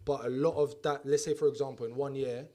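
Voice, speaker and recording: A man's voice: mid-range voice, fairly even intonation, fast, dark tone. A young man with a South London accent, a football player being interviewed, chatting in a laid-back way and often checking that the listener follows. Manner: laid-back, often checks "do you get what I'm trying to say". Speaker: guest footballer. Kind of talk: casual interview chat.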